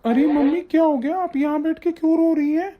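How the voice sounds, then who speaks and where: A woman's voice: sits high, fairly even intonation, a little fast, natural voice. A woman playing an angry, domineering young husband and devoted son, bossy and accusing in a family melodrama. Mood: concerned. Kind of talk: domestic family melodrama acting. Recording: dull, muffled lo-fi sound.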